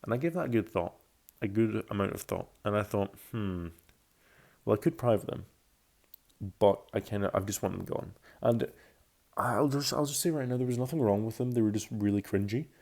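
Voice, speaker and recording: A man in his early twenties with a lowish, dark and relaxed voice. It has a noticeable gravelly creak. He speaks like a casual YouTuber, circling back to rephrase himself as he goes. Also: moderate pace. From Belgium, Scotland and France. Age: early 20s. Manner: rambling, self-correcting, restating phrases. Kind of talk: casual video commentary about himself.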